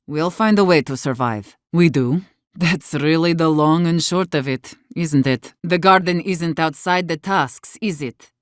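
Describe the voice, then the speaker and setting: A woman's voice: sits low, a little slow, no room sound, clean studio sound. An actress voicing an embittered, defiant woman warden leader in a video game, weary but fierce, speaking in a blunt, earnest way. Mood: grim, resigned. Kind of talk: dramatic game dialogue.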